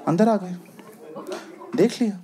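A young man doing stand-up storytelling on a comedy club stage with a handheld mic. He acts out the scenes, dropping low for asides and jumping high for the punchlines. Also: slow pace, a loud crowd, very noisy. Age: young adult. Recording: live club audio with loud audience laughter.

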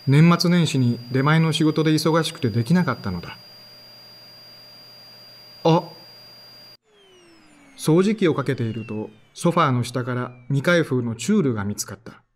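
A man's voice: medium pitch, expressive, normal speed, pauses often, slight background noise, natural voice. An actor in his thirties playing a tired, sighing man who narrates his own story in an audio drama. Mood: weary. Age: 30s. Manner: sighing, tired, self-deprecating. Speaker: narrator and lead character.

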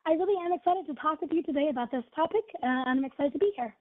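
A woman's voice: high voice, rapid-fire. A woman with a scratchy voice from being ill presents a webinar as a product manager, in an upbeat way with many fillers like "you know" and "right". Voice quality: husky. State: scratchy voice from illness. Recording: tinny phone-line audio.

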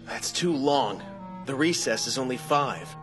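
A man's voice: medium pitch, animated, unhurried, over music, natural voice. An actor in an anime dub playing an earnest, exasperated young male lawyer, straightforward and incredulous. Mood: worried.

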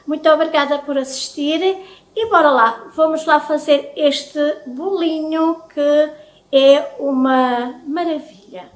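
Middle-aged female vlogger, European Portuguese accent, high-pitched, chatty and familiar, recorded in an echoey kitchen. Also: slow pace. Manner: chatty, affectionate address to viewers. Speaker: home vlogger. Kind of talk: casual chat to viewers. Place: home kitchen, indoors.

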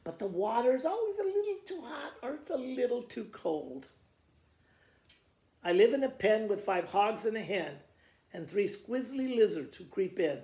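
A man in his sixties with a gravelly voice, reading children's poems aloud like a school principal to students, in a living room with the camera a little way off. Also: high voice, sing-song, moderate pace, a little mellow. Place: living room by a fireplace, indoors. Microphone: camera a short distance away. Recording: roomy indoor echo.

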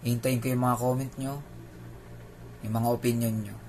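A man with a Filipino accent presents a scripted news explainer as a video host. His voice sits at an average pitch for a man. The recording was made indoors, with faint noise behind the speech. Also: little pitch movement, normal speed, mellow.

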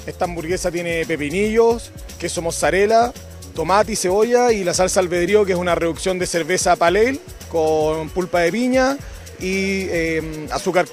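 A man at an outdoor food fair stall speaks into a handheld mic, his voice set high. He works through a list of ingredients with brief hesitations while faint music plays. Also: moderate pace. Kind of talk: interview answer.

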